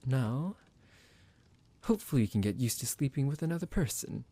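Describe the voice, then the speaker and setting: A man voicing a possessive, condescending vampire doctor in an ASMR roleplay, speaking in a measured, formal, menacing and softly commanding way. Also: medium pitch, lively intonation, no room sound, voice pushed up. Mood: smug, commanding.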